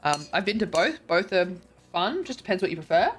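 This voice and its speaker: A woman's voice: lowish voice, sing-song, fairly quick, husky, faint music behind. Tired, congested woman streamer. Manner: chatty, rambling, many ums and likes. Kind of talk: casual chat reading viewer messages.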